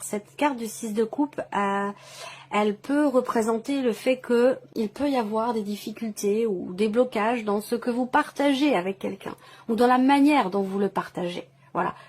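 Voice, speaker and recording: A woman with a somewhat high voice reads tarot for her listeners. She speaks off the cuff in an explanatory way, often repeating and rewording a point as she searches for the right term. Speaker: tarot reader, channel host. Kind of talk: tarot reading to camera, spoken off the cuff.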